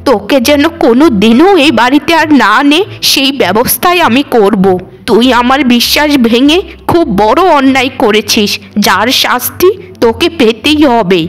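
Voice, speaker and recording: Lady doing a stern old village mother-in-law for a cartoon, dramatic, kinda high voice. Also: lively intonation, normal speed, faint music behind, natural voice, poor audio. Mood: furious. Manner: scolding, dramatic declaiming. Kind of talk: cartoon voice acting.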